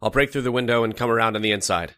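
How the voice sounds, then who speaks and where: A man playing a composed, scheming doctor in an old-time radio mystery, speaking in a measured, coaxing way. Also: medium pitch, pushed and bright, slight background noise, natural voice. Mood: urgent.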